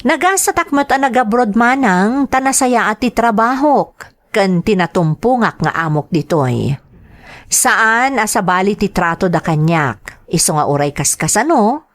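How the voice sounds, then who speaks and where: A humble working man tells his life story in an earnest letter , read by a woman. The accent is Filipino and the pitch is medium for a female voice. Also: expressive, measured pace, no echo, close to an everyday voice. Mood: earnest, reflective. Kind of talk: scripted letter narration.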